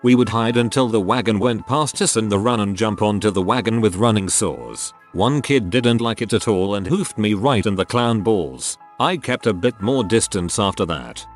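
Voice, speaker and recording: Low-voiced man narrating stories. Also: normal speed, few pauses, faint music behind. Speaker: narrator reading online stories. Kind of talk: scripted narration.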